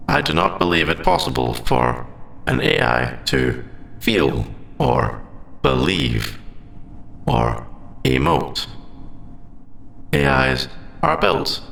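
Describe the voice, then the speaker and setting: A very gravelly, creaky AI character acted by a man speaks in stilted, formal phrases with a lively, swooping pitch. He is polite and literal, a sentient machine in a sci-fi roleplay audio drama. The voice sits in a roomy echo. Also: a little hiss or hum, exaggerated voice. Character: male sentient AI, polite, literal, hiding forbidden feelings.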